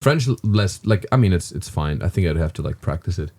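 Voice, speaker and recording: A man in his thirties with a German accent tells rambling stories on a podcast in a dark, mellow voice that sits fairly low. He drifts along loosely, filling his pauses with "uh" and "um" and restarting his sentences as he goes. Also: expressive. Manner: rambling storyteller with fillers and restarts. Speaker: guest comedian. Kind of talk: casual podcast chat.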